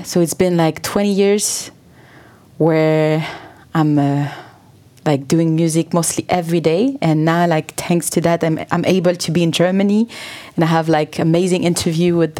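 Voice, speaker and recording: Relaxed Swiss woman singer. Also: lowish voice, normal speed. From Switzerland. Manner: relaxed, chatty, lots of "like" fillers. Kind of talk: radio interview answers.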